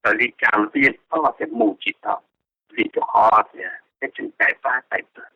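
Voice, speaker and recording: A man's voice: on the low side, fairly even intonation, moderate pace, sharp tone, dry recording. A man talking informally as a participant on a telephone conference call. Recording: dull, lo-fi telephone conference line.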